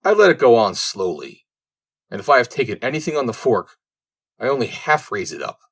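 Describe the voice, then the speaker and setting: Man playing an indignant lazy servant, swooping pitch. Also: big pitch swings, fairly quick, fairly bright tone, slightly rough, heavily acted. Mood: idle, dismissive.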